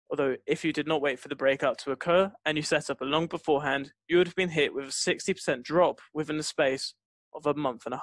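A man's voice: on the high side, fairly bright tone, no echo. A man narrating a scripted tutorial as an instructor, explaining step by step into a close mic. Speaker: trading instructor. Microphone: close voice-over mic, dry.

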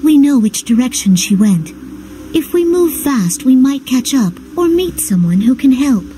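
A woman playing a gentle, caring young adventurer in a video game, earnest, polite and composed. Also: sits high, sing-song, moderate pace, slight background noise, rough recording. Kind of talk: video game character acting.

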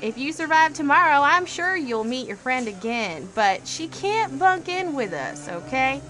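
An actress playing a flippant, zealous asylum inmate in an audio drama, chatty, sassy and theatrical, with slangy sarcasm. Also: female voice, high voice, sing-song, a little slow, bright, forceful tone, faint music behind, full character voice, low-quality recording.

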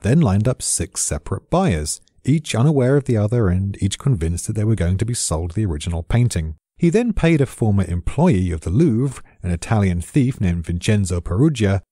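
A man with a British accent narrates in a low, mellow voice that swings expressively in pitch. He sounds like a wry, well-read storyteller explaining from a script. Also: no room sound, studio quality. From Britain. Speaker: expert narrator.

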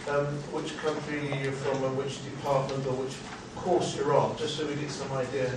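An older man hosting a seminar with an informal, rambling, halting and jokey introduction. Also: medium pitch, little pitch movement. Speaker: seminar host introducing a speaker. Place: small seminar room. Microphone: far camera microphone. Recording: distant mic, echoey noisy room.

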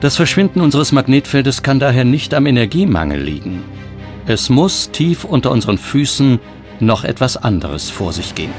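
A man reading scripted documentary narration in a measured, explanatory manner. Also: mid-range voice. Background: background music.

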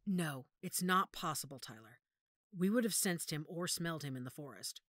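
Pack leader mother, werewolf audio drama, female actor: low register, decisive, strategic, dry studio sound. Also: lively intonation, normal speed, a bit bright, no echo. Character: commanding werewolf pack matriarch, a calculating mother.

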